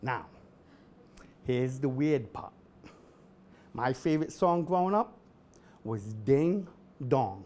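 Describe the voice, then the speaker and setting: Middle-aged guy doing standup at an event, deadpan jokes, voice jumps all over, sudden yelled singing. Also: mid-range voice, takes it slowly, pauses often, a little hiss or hum. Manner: deadpan delivery, bursts into shouted singing. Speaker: comedian host. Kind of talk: stand-up comedy set. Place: event hall with a live audience.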